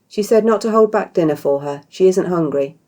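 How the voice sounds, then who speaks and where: A woman's voice: on the low side, a little fast, mellow, pitched above the performer's own voice, natural voice. Woman playing wry, capable inn maid. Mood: dry, discreet. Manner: wry, matter-of-fact.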